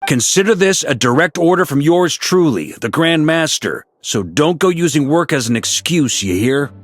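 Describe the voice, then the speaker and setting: An actor playing a weary middle-aged knight commander in a video game, who sighs in exasperation, teases dryly and gives gruff orders. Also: male voice, a little high, animated, moderate pace, natural voice. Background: soft game music.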